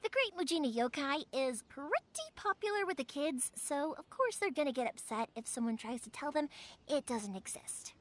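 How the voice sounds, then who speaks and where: A woman playing a cheerful, warmhearted young fireworks maker in a video game, chatty, bubbly and expressive. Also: sits high, moderate pace, a little hiss or hum. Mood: easygoing, reassuring. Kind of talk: animated video game voice acting. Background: background music.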